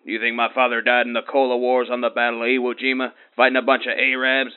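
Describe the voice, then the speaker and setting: A man's voice: mid-range voice, monotone, fast, smooth, close to an everyday voice. A man playing a bigoted, blustering middle-aged radio caller who rants and threatens, heard over a dull lo-fi line. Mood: indignant. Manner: ranting, threatening, pompous.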